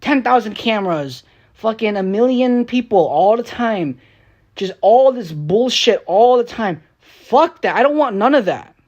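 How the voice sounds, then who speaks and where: A young man, a streamer and rapper, ranting on a live stream in a rambling, profane, frustrated way. He drops into low mutters and then bursts out loud. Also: lively intonation, measured pace, highs cut off. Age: young adult. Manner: swings between low mutters and raised outbursts.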